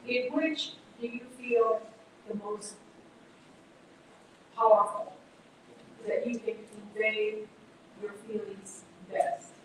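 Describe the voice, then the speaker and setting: A woman's voice: mid-range voice, steady tone, slow, long pauses, distant mic, a little hiss or hum. Woman moderator in an echoey hall. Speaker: event moderator. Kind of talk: live Q&A moderation. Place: large event hall.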